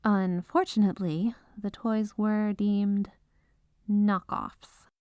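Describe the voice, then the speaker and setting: A woman's voice: medium pitch, fairly even intonation, slow, a little mellow, no echo, muffled recording, lightly acted. A woman playing an exasperated, businesslike head lawyer at the North Pole in a scripted comedic audio roleplay. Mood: businesslike, explaining. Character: exasperated, dutiful head legal advisor at Santa's North Pole.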